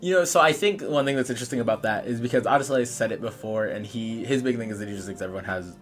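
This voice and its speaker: A man's voice: mid-range voice, very fast, soft background music. A man playing a tabletop game, casually explaining his character as he thinks aloud.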